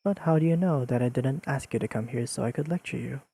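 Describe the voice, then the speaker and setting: Mellow, husky deadpan young man, acted. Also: mid-range voice, fast. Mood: dry scolding. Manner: deadpan, precise, formal wording with dry teasing. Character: deadpan, pedantic, secretly fond young friend, male. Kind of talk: intimate scripted audio roleplay addressed to the listener.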